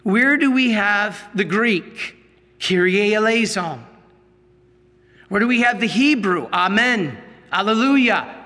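High, breathy priest in a reverberant hall. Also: male voice, sharp tone. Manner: slow storytelling, halting restarts, rising to emphatic teaching. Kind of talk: sermon with a personal story.

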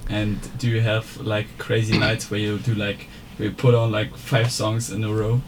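Male interviewer, low monotone voice, casual questions, clear background noise. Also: moderate pace. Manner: relaxed, friendly questions.

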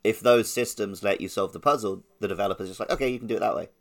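A man's voice: fairly low pitch, racing, gravelly. A man co-hosting a podcast, talking casually about a video game. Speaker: podcast co-host.

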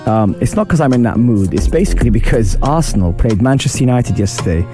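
A man with a husky voice hosting a radio show, chatting casually through a football match review and greeting listeners. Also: medium pitch, animated, quick pace, music in the background. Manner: chatty, shout-outs to listeners. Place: radio studio.

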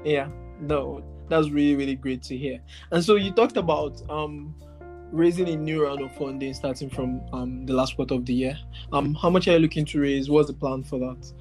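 A man's voice: on the high side, normal speed, a little mellow, soft background music. A man interviewing a guest in a conversational way, phrasing questions tentatively with plenty of you-knows.

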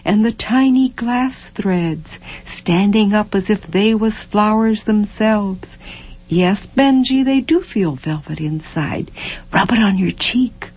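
A woman voicing a gentle, dreamy working mother of four, with a soft, lilting way of lingering over words, on a dull lo-fi recording. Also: mid-range voice, takes it slowly, husky, pitched above the performer's own voice. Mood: wondering.

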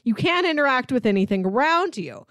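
A woman with a somewhat high voice talks casually as a podcast co-host. She tells film stories in an animated, conversational way. The sound is dry, with very little room in it. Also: brisk, dry recording. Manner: animated storytelling, acts out characters' lines.

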